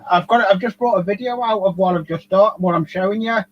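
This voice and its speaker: A man's voice: high voice, quick pace, lo-fi sound, slight background noise. A man chatting casually as a guest modeler on a livestream video call. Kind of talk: casual chat on a group call. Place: home loft hobby room, on a video call.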